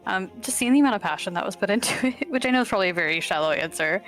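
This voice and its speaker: A woman's voice: mid-range voice, animated, racing, a bit bright, soft background music, rough recording. A woman game artist chatting casually in a Q&A, bashful, self-effacing and prone to nervous giggles. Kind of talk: casual Q&A chat.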